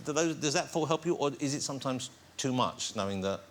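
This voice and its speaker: A man hosting a talk show, interviewing guests with a halting, repetitive way of phrasing questions. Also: medium pitch, animated, very noisy. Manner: hesitant, repeats words.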